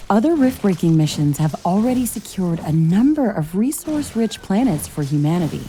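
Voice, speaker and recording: An actress playing a thoughtful, principled scientist-commando in a video game, speaking in a calm, articulate, wry way over music. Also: female voice, medium pitch, brisk, a little hiss or hum, natural voice. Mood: earnest conviction. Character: thoughtful, principled female scientist-commando, wryly teasing, mech pilot. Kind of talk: scripted video game voice acting.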